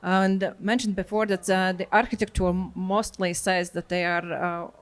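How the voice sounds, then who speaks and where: A woman whose first language is Latvian interviewing a guest on stage in English, pausing often with fillers. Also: fairly low pitch, flat delivery. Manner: hesitant, many fillers, careful non-native phrasing. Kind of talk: conference interview questions.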